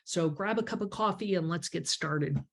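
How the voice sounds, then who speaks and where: A woman's voice: on the low side, moderate pace, gravelly. A woman in her fifties with a stuffy, congested voice, hosting a members video call from her home office, explaining things in a chatty way with lots of ums. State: congested from a cold. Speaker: grant writing mentor hosting a members call. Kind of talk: casual webinar talk with many ums. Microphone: webcam on a video call.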